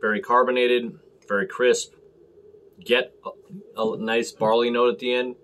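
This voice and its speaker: An American man hosting a casual beer review to camera, talking in halting, clipped short phrases. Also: mid-range voice, flat delivery, a bit bright. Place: small room at home, indoors.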